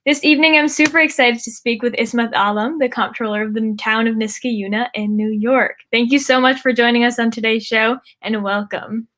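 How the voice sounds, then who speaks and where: A woman's voice: on the high side, fairly even intonation, fairly quick, few pauses, a bit bright. A young adult woman hosting an interview show, upbeat and welcoming as she introduces the guest and asks questions. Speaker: host, student interviewer.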